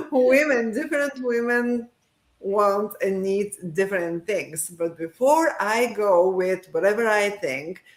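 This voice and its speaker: A woman's voice: mid-range voice, slow pace. A middle-aged woman speaking on a video call as a livestream guest, earnest and repeating her key phrases. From Bulgaria. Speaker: guest, sonographer. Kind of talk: casual livestream chat. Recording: echoey room with faint noise.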